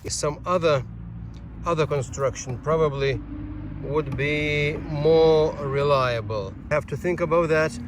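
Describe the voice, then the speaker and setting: Male outdoor gear vlogger, slightly high voice, unhurried and halting, faint ambient noise behind. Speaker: amateur radio video host. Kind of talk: casual outdoor gear demonstration vlog. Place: outdoors on a bushy hilltop.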